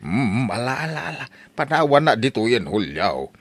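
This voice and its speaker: A man's voice: medium pitch, very animated, moderate pace, a bit bright, no echo. A man with an Ilocano accent playing a grumbling, whiny old village father in a comedy audio drama, exaggerated and complaining.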